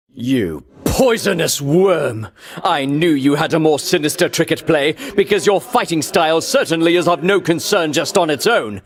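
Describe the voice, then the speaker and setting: A vainglorious champion of ancient Greece, easily outraged and utterly pompous. His high-pitched voice swoops dramatically through haughty, old-fashioned declarations, in the grand theatrical manner of a video game rival. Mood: outraged, scornful. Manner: bombastic, grandiloquent, archaic diction, haughty. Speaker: video game character voice actor.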